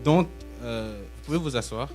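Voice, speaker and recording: A man's voice: mid-range voice, normal speed, music in the background. A young man from Belgium preaching live in church, in short emphatic phrases that leave room for an interpreter. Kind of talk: live sermon with pauses for interpretation. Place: church, speaking to a congregation. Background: musical instrument playing.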